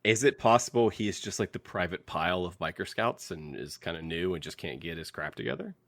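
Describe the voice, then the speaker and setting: A man co-hosting a podcast, chatting casually in an informal, halting, wry manner. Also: sits low, expressive, fairly bright tone, dry recording. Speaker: podcast co-host. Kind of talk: casual panel chat about a TV trailer.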